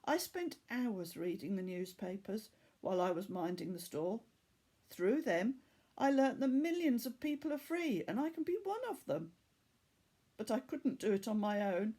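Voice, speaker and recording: A woman reading the part of a weary, earnest boy from Bangladesh in a storybook, telling his story in a measured way. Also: medium pitch, big pitch swings, dark tone. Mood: earnest, confiding.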